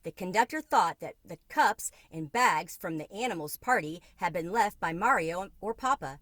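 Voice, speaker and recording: A woman reading a children's book aloud in an expressive narrating style. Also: fairly low pitch, moderate pace, dry recording, clean studio sound. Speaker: storybook reader.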